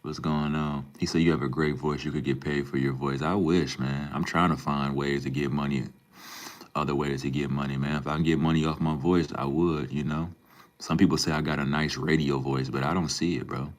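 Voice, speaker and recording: Young man from Minnesota, extremely low voice; relaxed live-stream banter with chat. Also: fairly quick. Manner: laid-back, relaxed slang, calls viewers bro and man. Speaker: livestreamer. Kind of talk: casual live stream chat, reading and answering viewer comments.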